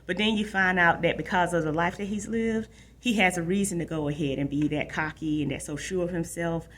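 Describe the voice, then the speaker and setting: A woman with a slightly low, husky voice presents books to tweens. She talks informally and conversationally, like a librarian chatting with young library users, and often repeats a phrase as she goes. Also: brisk, fairly bright tone. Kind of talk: casual book talk to young viewers.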